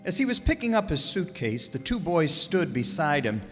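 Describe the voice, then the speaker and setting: A man's voice: on the high side, very animated, moderate pace. A man narrating a live radio play in a dramatic, literary storytelling style. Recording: echoey hall, music behind.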